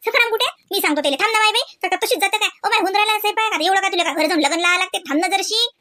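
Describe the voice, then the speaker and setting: A woman's voice: very high-pitched, rapid-fire, pushed and bright. A woman voicing a hostess who keeps coaxing a guest to stay for the meal. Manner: pleading, coaxing.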